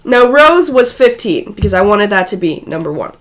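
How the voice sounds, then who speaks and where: A woman with a raspy, hoarse voice, feeling unwell, casually explaining a home recipe step by step. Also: medium pitch, sing-song, moderate pace. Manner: chatty, loose, self-correcting. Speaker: guest co-presenter.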